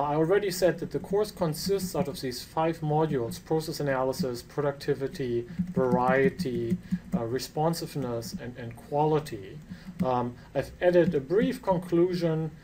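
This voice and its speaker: Casting: middle-aged German-accented male professor, mid-pitched, earnest lecturing, faint hiss behind. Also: a little mellow. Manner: earnest, with frequent fillers and restarts. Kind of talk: online course lecture.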